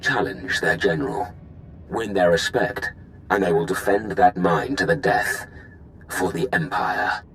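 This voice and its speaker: A man's voice: sits low, expressive, unhurried, fairly bright tone, a little hiss or hum, poor audio. A man playing a cool, defiant Imperial commander in a video game, firm, controlled and formal. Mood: firm, resolute. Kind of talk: video game cutscene acting.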